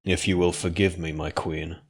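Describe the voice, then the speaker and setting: Man as a low, muttering, loyal pony agent. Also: little pitch movement, normal speed, slightly rough. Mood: brooding. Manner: quiet, muttering, deferential. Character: loyal, sombre, humble male earth pony agent. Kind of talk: dramatic fan fiction reading.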